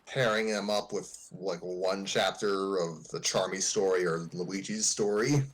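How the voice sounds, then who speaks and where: A man's voice: low voice, steady tone, measured pace, poor audio. A man co-hosting a livestream in casual, conversational chat. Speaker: co-host of a story-reading livestream.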